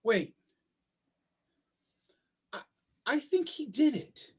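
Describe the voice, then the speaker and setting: A man's voice: very high voice, very animated, extremely slow, husky, exaggerated voice. A man playing a bitter, anguished father and husband in a staged play reading, speaking with emphatic insistence over a video call. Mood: anguished, uneasy.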